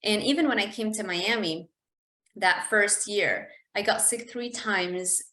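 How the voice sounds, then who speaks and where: A woman's voice: mid-range voice, a little slow, pushed and bright, reverberant. A woman from Lebanon hosting a conversational podcast interview. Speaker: podcast host.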